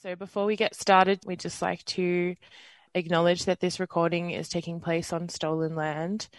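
A twenty-eight-year-old radio host with an Australian accent, leading a community panel in an earnest, relaxed way. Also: medium pitch, normal speed, no echo, rough recording. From Western Australia. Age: 20s. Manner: earnest, relaxed, with fillers and small laughs.

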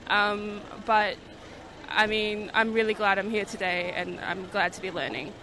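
A woman's voice: mid-range voice, steady tone, measured pace, noisy background. A woman at an outdoor rally answering an interviewer hesitantly, with many fillers. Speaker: rally attendee.

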